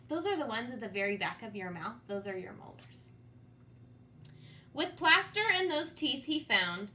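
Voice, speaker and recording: A woman's voice: fairly high pitch, pushed and bright, slight background noise. A woman reading a storybook aloud for children in a dramatic, teacherly manner, with asides to young listeners. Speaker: storybook reader. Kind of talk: expressive read-aloud for children.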